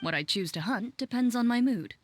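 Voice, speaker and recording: Woman as a deadpan, composed young huntress. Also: fairly high pitch, slight background noise, close to an everyday voice. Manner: deadpan, measured, matter-of-fact. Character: cool, composed young huntress companion, terse and dry. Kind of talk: video game character dialogue.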